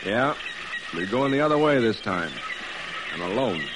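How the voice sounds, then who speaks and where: A man's voice: lowish voice, lively intonation, moderate pace, close to an everyday voice. A man playing a seasoned merchant ship captain in a classic radio drama, dry and plainspoken, on an old noisy recording. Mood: genial, dry.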